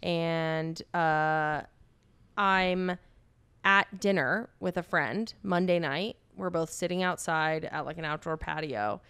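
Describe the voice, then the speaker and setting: A woman's voice: low-pitched, takes it slowly, a bit bright, clean tone, no echo. A woman co-hosting a podcast, telling a personal story in a playful, self-mocking way. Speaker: podcast co-host. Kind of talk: casual storytelling.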